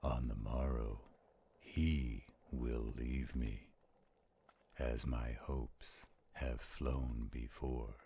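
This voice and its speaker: A man voicing a theatrical, flirtatious werewolf storyteller in an audio roleplay, with a gravelly, husky voice. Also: extremely low pitch, steady tone, dark tone, a little hiss or hum, exaggerated voice. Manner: slow, dramatic, playful. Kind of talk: audio roleplay acting with dramatic poem recitation.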